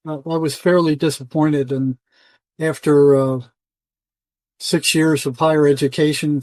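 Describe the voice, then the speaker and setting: An older man answering as an interview guest in a conversational, halting way, often repeating words and restarting. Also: a little high, a little mellow, dry recording, rough recording. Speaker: interview guest, retired psychotherapist.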